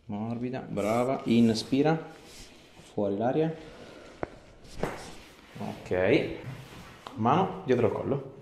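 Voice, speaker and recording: A man's voice: mid-range voice, slow, long pauses, a little mellow. A male chiropractor, coaxing a patient through treatment in short, repeated directions. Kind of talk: hands-on instructions to a patient during a treatment. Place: small clinic treatment room, indoors. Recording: echoey small room with clear background noise.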